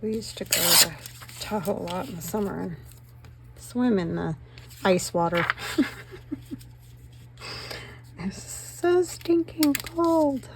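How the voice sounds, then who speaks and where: A middle-aged woman with a slightly hoarse voice talks her viewers through a craft project in a rambling, self-mocking way. Paper rustles and clicks sound behind her, and the recording has a clear layer of background noise. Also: medium pitch, animated, slow, pauses often. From northern Nevada, US. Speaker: craft channel host. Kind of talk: chatty crafting commentary.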